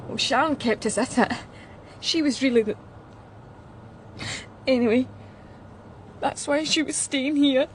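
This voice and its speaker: A young Scottish woman from Glasgow in a radio drama, with a fairly high voice. She is shaken yet chatty, rambling in blunt, colloquial phrases, with faint noise behind her. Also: slow, long pauses. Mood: nervous, chatty. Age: young adult. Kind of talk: naturalistic radio drama acting.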